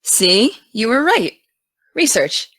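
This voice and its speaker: A young American woman playing an excitable, teasing teenage aspiring journalist in naturalistic, casual audio drama dialogue. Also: on the high side, slow, dry recording, studio quality, exaggerated voice. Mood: upbeat, teasing.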